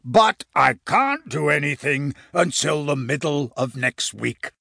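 A man acts out a giant storybook wizard with a thunderous, booming voice. The delivery is big, hearty and welcoming, with a gravelly edge and a very dry, close sound. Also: animated, slow pace, bright, forceful tone, dry recording, exaggerated voice. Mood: ponderous.

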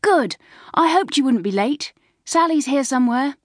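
A boisterous teenage schoolgirl, put on by a woman reader calls out in a high, exclamatory voice. It is a storybook character, recorded close and dry. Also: expressive, moderate pace, dry recording. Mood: excited. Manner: calling out, exclamatory. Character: lively, boisterous teenage schoolgirls greeting friends.

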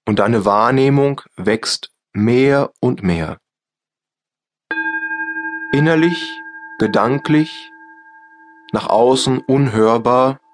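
A man with a German accent guiding a yoga nidra relaxation in slow, soft, calm instructions with long pauses. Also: mid-range voice. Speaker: meditation guide. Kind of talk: guided yoga nidra meditation.

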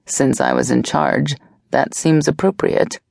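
A protective woman weighed down by guilt, as a woman reader voices her. She talks low, slow and subdued, with a gravelly, dark tone. The recording is close and dry. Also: fairly even intonation, no echo, exaggerated voice.

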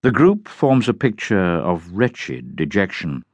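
Audiobook narrator, male. Low register, highly varied intonation, measured literary reading, close dry sound. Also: slightly rough, no echo, lo-fi sound. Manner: measured, literary, expressive delivery. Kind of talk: scripted dramatic reading of a journal.

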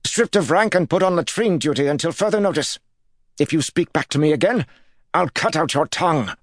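A man's voice: mid-range voice, normal speed, no room sound, clean studio sound, pitched above the performer's own voice, lightly acted. A man voicing a raging, unhinged middle-aged lord who barks threats and sputters with anger in a storybook. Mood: furious.

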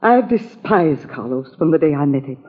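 A bitter, suspicious spinster in a muffled, narrow-band old radio drama. Her husky voice swings widely in pitch as she speaks in dramatic, halting phrases. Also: sing-song, long reverb tail, full character voice. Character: suspicious, bitter spinster convinced her sister was murdered. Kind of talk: 1940s radio drama acting.

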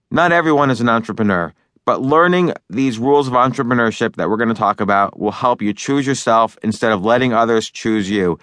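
A man's voice: mid-range voice, fairly bright tone, dry recording, studio quality. A man narrating his own book in a loose, conversational way, with fillers and restarts as he talks over each point. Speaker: author and narrator.

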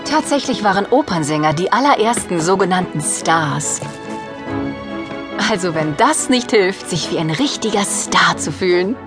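A woman's voice: lowish voice, expressive, moderate pace, over music, close to an everyday voice. A woman playing a kind, encouraging mother in a children's audio drama, speaking in a reassuring manner. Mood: gentle, encouraging.

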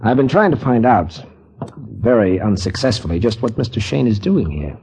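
A man's voice: fairly low pitch, big pitch swings, moderate pace, a little mellow, husky, heavily acted. An actor playing a polite, guarded middle-aged male theater director who speaks with hesitation, in 1940s radio style.

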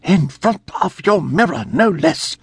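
Brief: male narrator doing a high, shrill, scolding governess woman, prim and wrathful, in a storybook reading. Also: animated, measured pace, no echo, full character voice. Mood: indignant. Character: stern prudish middle-aged female governess, scolding.

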